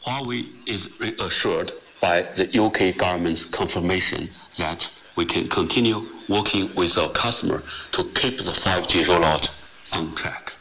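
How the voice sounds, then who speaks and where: A man's voice: low voice, unhurried, sharp tone. A male company executive giving a prepared statement, relayed over shortwave radio. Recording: noisy shortwave relay of clip.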